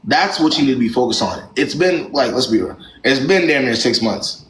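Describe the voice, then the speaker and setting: A man's voice: medium pitch, animated, normal speed, fairly bright tone, reverberant, slight background noise, rough recording. A young man hosting a live stream who gives callers blunt, slangy relationship advice in a lecturing way.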